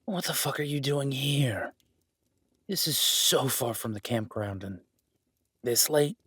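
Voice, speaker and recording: A man playing an irritated, suspicious, armed cabin dweller in a horror audio roleplay, speaking in a gruff, hurried, swearing manner. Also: mid-range voice, animated, fairly bright tone, no room sound, heavily acted. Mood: angry, wary.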